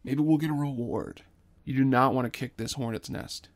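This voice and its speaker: A man's voice: medium pitch, fairly even intonation, normal speed, no echo, close to an everyday voice. A man as a skeptical, grumbling space adventurer. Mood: worried, skeptical. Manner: grumbling, reluctant.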